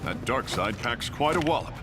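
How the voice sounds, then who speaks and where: A man's voice: mid-range voice, expressive, moderate pace, over loud music, close to an everyday voice. An actor playing a friendly, earnest male superhero with a polite, hearty manner in a game cutscene. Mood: grateful, hearty. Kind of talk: animated video game cutscene acting.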